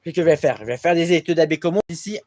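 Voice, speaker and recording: A man's voice: on the high side, very fast, darkish tone, slight background noise. A young man from Quebec rambles through a casual vlog into his tablet's built-in mic. He drifts from one gripe to the next, swearing freely and running himself down. He sounds loose and unscripted throughout. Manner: rambling, swears a lot, self-deprecating. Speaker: vlogger. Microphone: tablet camera mic.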